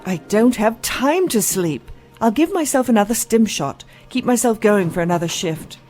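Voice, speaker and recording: A woman playing a weary, dedicated doctor, medium pitch. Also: lively intonation, normal speed, darkish tone, soft background music, lightly acted. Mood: stubborn, weary. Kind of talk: audio drama acting.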